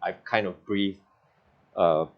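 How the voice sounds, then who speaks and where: A man's voice: low voice, fairly even intonation, slow, muffled recording. A man with a Singaporean English accent speaking as a guest on a panel, laid-back and a little hesitant, often laughing. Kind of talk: panel interview chat.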